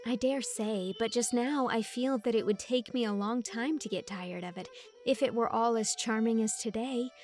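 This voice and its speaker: A woman's voice: fairly high pitch, normal speed, a little mellow, soft background music, natural voice. Lilting dreamy young woman, fanciful and cheerful, read by a woman narrator.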